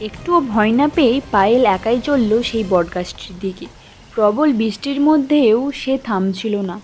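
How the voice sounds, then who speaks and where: A woman's voice: a little high, lively intonation, normal speed, a little mellow, faint music behind. A woman giving scripted narration for a children's story.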